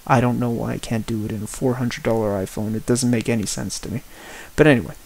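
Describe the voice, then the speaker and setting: A man giving a spoken personal-opinion tech review as a reviewer, in deliberate, halting phrases with emphatic repetition. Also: mid-range voice, fairly even intonation, vocal fry, darkish tone, low-quality recording. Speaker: product reviewer.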